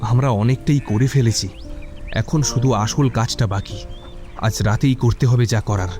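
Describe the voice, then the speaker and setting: A man's voice: medium pitch, unhurried, music in the background, lightly acted. An actor playing a troubled but determined young man in an audio drama, with a hesitant, brooding way of talking. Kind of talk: Bengali audio drama acting.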